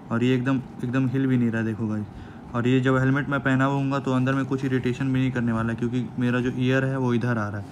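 A young man in a casual vlog, walking viewers through a gear setup step by step, chatty and repetitive, calling them "guys". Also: medium pitch. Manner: chatty, repeats words, says "guys" often. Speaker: motovlogger. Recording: steady background noise.

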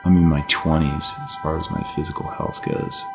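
A middle-aged man with a very deep voice talks about himself. He is candid and plain-spoken, in a reflective documentary style. Music plays clearly behind him. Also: little pitch movement, moderate pace. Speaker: ski guide.

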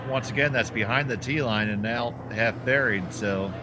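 A man's voice: medium pitch, normal speed, noisy background. A man with a Philadelphia accent calling a curling match as play-by-play commentator, bantering playfully with his partner. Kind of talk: sports commentary.

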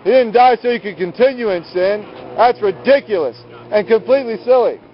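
A man preaching on the street in a loud, scolding, combative manner, confronting people outdoors. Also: sits high, animated, a little fast, a little hiss or hum. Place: outdoors at night on a city sidewalk beside a road. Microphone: handheld camera. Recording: muffled lo-fi phone camera audio.

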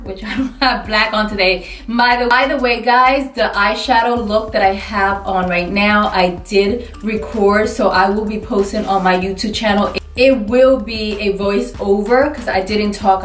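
Animated forty-something woman chatting to camera; roomy, somewhat distant studio sound. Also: medium pitch. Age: 40s. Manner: chatty and animated, swears mildly, hands moving. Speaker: beauty vlogger. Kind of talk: casual product review to camera. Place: indoor studio, dark backdrop. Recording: roomy, a bit far from mic.

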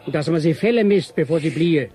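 An indignant country man acted by a man insisting on being heard in a radio play. He speaks southern German dialect in a high, excitable voice. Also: quick pace, a faint crowd, close to an everyday voice. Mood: insistent. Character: excitable, indignant rustic village eyewitness. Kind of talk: German radio drama dialogue.

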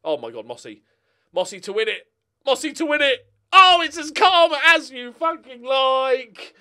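A man's voice: extremely high pitch, big pitch swings, moderate pace, sharp tone, clear, resonant tone. A middle-aged man giving casual, sweary commentary on a football manager game, talking to his players and viewers into a close mic. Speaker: gaming streamer. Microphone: close desk microphone.

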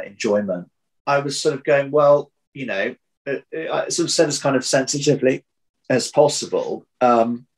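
A man's voice: on the low side, poor audio. Man thinking aloud, hesitant and searching. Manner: hesitant, thinking aloud with many false starts and repeated words. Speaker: interview guest, audio drama writer. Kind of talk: casual interview chat. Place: home room, indoors. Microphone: computer video call.

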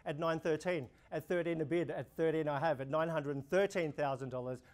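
A young man with an Australian accent, an auctioneer running a practice auction, calling bids in a steady repeating rhythm. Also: fairly high pitch, a little fast. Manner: rhythmic, repetitive bid calling, gestures while talking. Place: indoor room before a projection screen.

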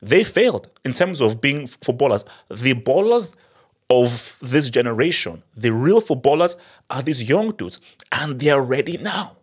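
A man in his thirties talks straight into a studio mic on a boom arm, breaking down a match as a football channel host. His pitch leaps up for emphasis and he keeps hammering points home by repeating words. It sounds like a small home studio. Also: big pitch swings, measured pace, breathy edge. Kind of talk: post-match analysis monologue.

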